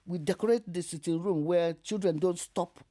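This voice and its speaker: An older Nigerian woman recounts her past as an interview guest. Her voice is mellow and warm, and she tells even hard memories in an animated way, laughing as she goes. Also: lowish voice, moderate pace. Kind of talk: recounting her life story.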